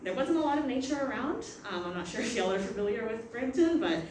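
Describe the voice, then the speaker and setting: A woman's voice: fairly high pitch, lively intonation, fast, a little mellow. A young woman from Canada, moderating a casual panel talk in a reflective way and laughing often. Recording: echoey room, far from mic, noisy.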